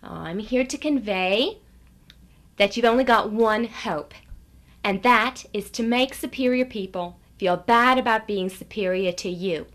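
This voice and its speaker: A woman playing a brisk, condescending seminar leader in a satirical radio lecture, coaxing her listeners. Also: medium pitch, fairly bright tone, natural voice. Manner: patronizing, coaxing the audience.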